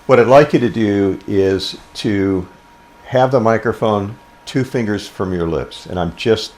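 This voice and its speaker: An older American man giving an informal explanatory tutorial as an instructor, unhurried, with halting pauses. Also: low-pitched, a little mellow. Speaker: ham radio instructor.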